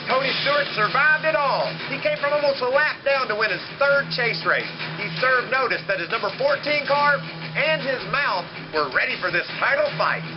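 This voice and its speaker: A man's voice: very high voice, lively intonation, moderate pace. A man reading scripted narration for a television sports show. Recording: muffled TV capture, music behind.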